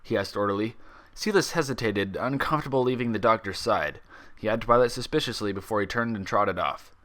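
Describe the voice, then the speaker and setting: A man reading fan fiction as scripted story narration, with expressive, dramatic delivery. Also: on the low side, fairly quick.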